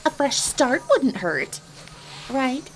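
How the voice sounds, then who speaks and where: A sassy cartoon pony mare, acted by a woman, high and very expressive. She drawls slowly and theatrically, wry but fond, in a fantasy story with soft music behind. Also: full character voice, low-quality recording. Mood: wearily coaxing. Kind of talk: dramatised fan fiction reading.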